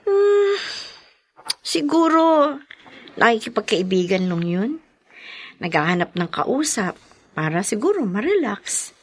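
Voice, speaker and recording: A woman's voice: fairly high pitch, very animated, slow, long pauses, dry recording, full character voice. An actress with a Filipino accent, playing a spirited young single woman in a radio drama. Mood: soft. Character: young single woman, feisty but shy. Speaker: daughter.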